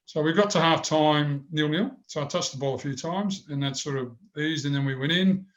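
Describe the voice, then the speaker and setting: A man's voice: a little high, moderate pace, muffled recording. An older man with a big voice, telling rambling stories in an interview. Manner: rambling anecdotes with many restarts. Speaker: former goalkeeper, guest. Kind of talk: storytelling interview.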